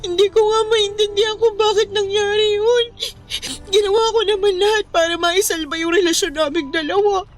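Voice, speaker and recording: A very high, whiny voice of a heartbroken young woman, tearful and pleading. It is radio drama acting, with faint music running underneath. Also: steady tone, moderate pace. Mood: tearful, anguished. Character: heartbroken young woman crying over a breakup.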